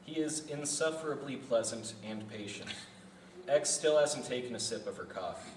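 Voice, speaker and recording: A man's voice: mid-range voice, expressive, moderate pace, darkish tone. A man reads scripted narration aloud at a table read. His mid-range voice carries from a distance in an echoey room with some background noise. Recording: echoey room from far off, noisy.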